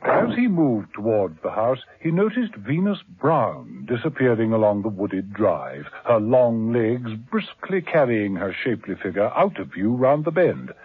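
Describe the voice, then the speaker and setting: A man narrating a radio drama in a measured storytelling style. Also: on the low side, sing-song, breathy edge, no echo. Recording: tinny old broadcast with hiss.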